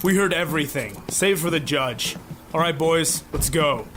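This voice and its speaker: A man plays an earnest police detective in an old-style noir radio play. His pitch is fairly high for a man. He talks plainly, in businesslike, modest cop fashion. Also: expressive, moderate pace, background noise, close to an everyday voice. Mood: commanding. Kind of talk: 1950s noir radio drama acting.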